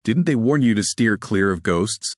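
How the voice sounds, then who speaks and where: A man voicing a small, mocking, mysterious spacefaring woman in an audio story, flippant and brisk in manner. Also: fairly low pitch, animated, no room sound, clean studio sound, pitched above the performer's own voice, close to an everyday voice.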